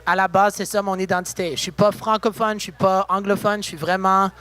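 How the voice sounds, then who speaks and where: High-voiced young man, relaxed and chatty. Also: brisk, smooth. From Saskatchewan, Canada. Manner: relaxed, chatty, full of fillers. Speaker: musician guest. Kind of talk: casual interview. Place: backstage at a concert venue.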